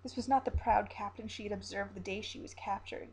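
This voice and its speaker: A woman with a husky, slightly rough voice narrates a grim story. She delivers it as a dramatic storyteller reading from a script. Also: medium pitch, steady tone, fairly quick, darkish tone, rough recording.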